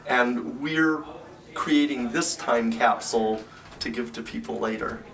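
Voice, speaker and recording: A man's voice: mid-range voice, a little slow, gravelly, distant mic, a crowd in the background, rough recording. A man from a production crew talking reflectively in an interview.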